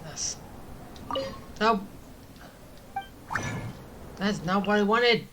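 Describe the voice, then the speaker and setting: Casual male streamer: offhand gameplay reactions, slow pace, blunt phrasing, noisy recording with clicking. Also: high voice, bright, forceful tone. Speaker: let's play streamer.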